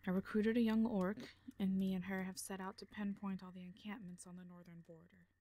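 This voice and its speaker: A woman's voice: medium pitch, little pitch movement, a little fast, dark tone, close to an everyday voice. A woman playing a brash, defiant mercenary in an audio drama, with a blunt, sardonic manner. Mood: firm, protective. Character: brash, defiant female mercenary, protective and unimpressed.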